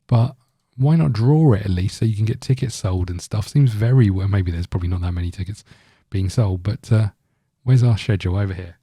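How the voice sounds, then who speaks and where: A man's voice: fairly low pitch, fairly quick, hardly any pauses, dark tone, breathy edge, no room sound, clean studio sound. A man hosting a gaming video, giving casual let's-play commentary in a rambling, thinking-aloud way.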